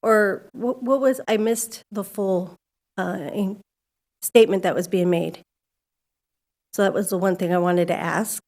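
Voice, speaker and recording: A woman's voice: mid-range voice, steady tone, unhurried, pauses often, a little mellow. Candid woman speaking at a podium mic. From California. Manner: candid, conversational, grows emphatic. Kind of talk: public comment at a school board meeting. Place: civic center meeting hall.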